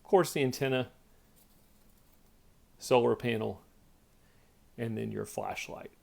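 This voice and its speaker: A man's voice: mid-range voice, slow pace, vocal fry. A man giving a casual product demonstration, explaining things step by step in a plainspoken way. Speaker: product reviewer.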